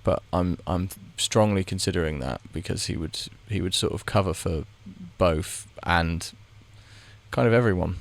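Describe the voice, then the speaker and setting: A man with a low voice and a gravelly edge talks through a game as he plays it. He rambles and mutters his reactions out loud, often stopping to restart a sentence. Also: normal speed. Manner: thinks aloud, muttering reactions to the screen. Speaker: gaming video creator. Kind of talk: casual commentary while playing a game.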